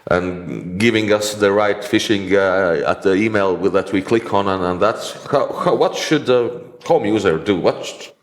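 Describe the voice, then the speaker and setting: A man's voice: fairly low pitch, a little slow, hardly any pauses. A man with a Latvian accent, a non-native English speaker, hosting a conversation and asking questions with many hesitant repetitions. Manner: hesitant, many repeated words and restarts. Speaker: host, IT consultant. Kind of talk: conversational interview.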